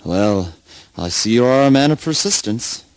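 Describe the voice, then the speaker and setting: A man playing an unruffled, slyly genial priest in a spoken word play, measured and understated. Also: mid-range voice, animated, darkish tone, no echo, close to an everyday voice, low-quality recording. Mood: sly, calm.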